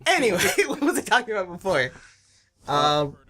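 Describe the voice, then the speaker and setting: Casting: man with a slightly high, very bright voice, giggly and excitable, co-hosting a casual commentary. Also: normal speed. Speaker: series creator and editor on a commentary track. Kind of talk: casual group commentary, chatting with friends.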